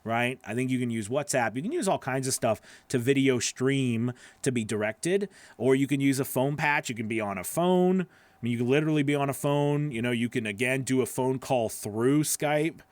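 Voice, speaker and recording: A man around his forties chatting casually as a livestream host and coach, animated and emphatic, speaking close into a studio microphone. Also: medium pitch, moderate pace, no echo. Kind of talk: casual livestream chat, answering viewer comments. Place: small home studio with acoustic foam. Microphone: broadcast microphone on a boom arm, up close.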